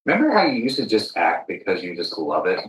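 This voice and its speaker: Male podcast host, slightly low voice, emphatic casual talker, self-deprecating; sounds back from the mic. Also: wildly swooping pitch, fast talker, a bit bright. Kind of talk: casual chat. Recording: echoey room, distant from mic.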